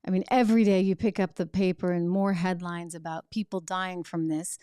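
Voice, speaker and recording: A woman's voice: lowish voice, fairly even intonation, fairly quick, dark tone, clean tone, no room sound, clean studio sound. A woman hosting a political commentary show, speaking emphatically and reacting to clips with rhetorical questions. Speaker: host.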